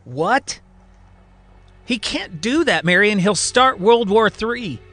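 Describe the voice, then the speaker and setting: A man's voice: high voice, expressive, slow pace, sharp tone, soft background music, voice pushed up, heavily acted. A man voicing an insistent, pleading male president in dramatised podcast storytelling. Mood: alarmed, urgent. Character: urgent, pleading male president trapped in a patient's body.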